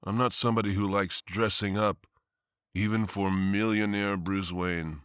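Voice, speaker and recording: A man playing a blunt deep-voiced prosecutor. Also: steady tone, unhurried, fairly bright tone, smooth, no echo, studio quality. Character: blunt male district attorney, brash.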